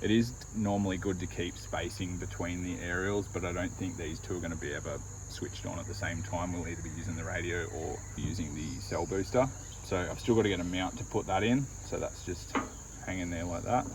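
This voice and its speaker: Low-voiced Australian man, laid-back. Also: steady tone, brisk, noisy background. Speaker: DIY van-build vlogger. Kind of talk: casual hands-on install explanation.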